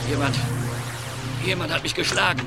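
A man's voice: fairly high pitch, moderate pace, music in the background, lightly acted. A man playing an irritable, complaining photographer in an audio drama. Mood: aggrieved. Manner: huffy, complaining. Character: irritable meat-loving male photographer guest.

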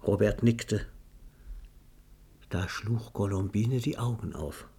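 Ein Mann erzählt in einem Hörspiel eine literarische Geschichte, ausdrucksvoll, genau und mit leiser Ironie. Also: male voice, fairly low pitch, slow pace, warm tone, husky, heavily acted. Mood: quiet, tender. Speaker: narrator. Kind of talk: scripted literary narration for a radio play.

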